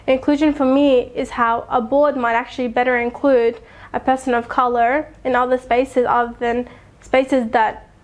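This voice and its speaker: A young woman from Afghanistan, speaking earnestly and thoughtfully in an interview, with soft laughs and frequent pauses to think. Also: a little high. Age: young adult. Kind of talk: reflective personal testimony.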